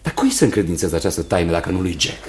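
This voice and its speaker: Earnest young fiancé, very lively intonation. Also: male voice, deep voice. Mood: nervous. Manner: earnest, hesitant, repeats himself. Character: kindly, nervous young fiancé, emotional and agreeable. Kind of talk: Romanian radio theatre acting.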